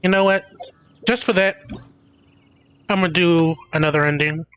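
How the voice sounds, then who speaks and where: Higher-voiced man, wry game streamer. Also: steady tone, normal speed, a bit bright, clear, resonant tone. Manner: wry, grumbling. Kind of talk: casual livestream commentary. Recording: game music behind.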